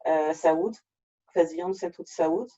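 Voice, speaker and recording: A man with a French accent, native French speaker, presenting an online workshop in a halting way with frequent restarts, over a video call. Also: fairly high pitch, dark tone. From France. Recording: dull lo-fi video call audio.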